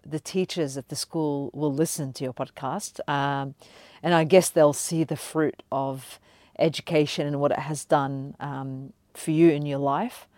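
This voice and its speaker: A woman's voice: low-pitched, fairly even intonation, unhurried, a little mellow, no echo. A woman hosting a podcast interview, encouraging her guest, talking with lots of hesitations and fillers. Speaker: podcast host. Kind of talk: conversational interview.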